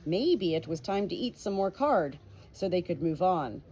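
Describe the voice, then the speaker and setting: A woman reading an audiobook as an expressive storybook narrator. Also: on the low side, moderate pace. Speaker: audiobook reader.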